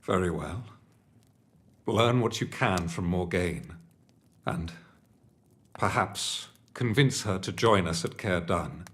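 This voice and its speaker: An actor playing a stern, dutiful military commander in a video game, speaking in a formal, grave, deliberate manner. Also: male voice, sits low, expressive, fairly bright tone, heavily acted. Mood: resolute. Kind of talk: video game character acting.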